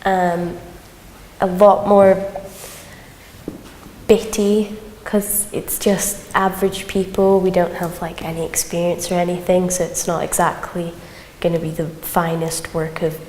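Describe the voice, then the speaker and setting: A woman's voice: on the low side, little pitch movement, measured pace, darkish tone. A woman chatting casually in an interview as an amateur performer, understated and matter-of-fact. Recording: echoey hall with background noise.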